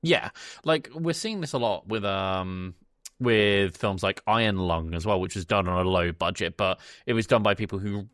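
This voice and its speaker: A man's voice: lowish voice, normal speed, a bit bright, no echo, studio quality. A man co-hosting a podcast, giving his opinions on films in an informal, conversational way, thinking aloud. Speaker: podcast co-host. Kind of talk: casual film review chat.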